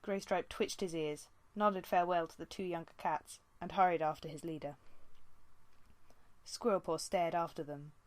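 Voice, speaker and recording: A woman's voice: on the low side, fairly even intonation, moderate pace, dry recording. A woman reading a story aloud as an amateur audiobook narrator.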